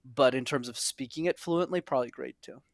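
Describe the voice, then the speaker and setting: A young man from Montreal, a podcast guest, telling his story in an earnest, animated way with quick asides. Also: medium pitch, gravelly, no room sound, clean studio sound. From Montreal, Canada. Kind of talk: casual interview.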